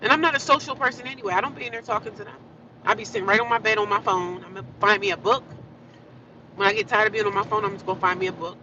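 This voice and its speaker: A woman's voice: medium pitch, steady tone, racing, pushed and bright, lo-fi sound, a little hiss or hum. A woman livestreaming from her car while driving, venting in a blunt, rambling, talkative way that circles back and repeats itself. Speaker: livestreaming vlogger.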